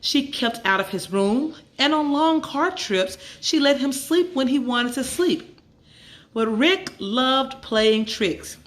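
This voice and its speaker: A woman reading a children's story aloud as a storyteller, with measured, expressive phrasing and dramatic emphasis. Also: high-pitched. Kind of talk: expressive scripted story narration.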